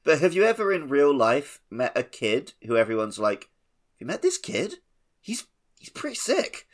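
Playful man chatting casually, mid-pitched. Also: huge pitch swings, normal speed. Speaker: podcast co-host. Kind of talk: casual joking chat.

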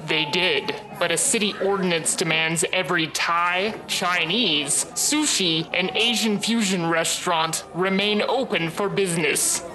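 An actress plays a weary female spirit from an audio comedy, lowish and very unhurried. She sounds grand and ominous, yet dry and snippy. Music plays behind her. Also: close to an everyday voice, poor audio. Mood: deadpan, snippy. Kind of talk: scripted audio comedy acting. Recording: music under the voice.

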